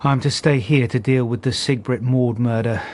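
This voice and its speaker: An actor playing a restrained, thoughtful police detective, measured and understated, in a radio drama. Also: male voice, medium pitch, a little mellow, lightly acted. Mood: dry, thoughtful. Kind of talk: naturalistic radio drama dialogue.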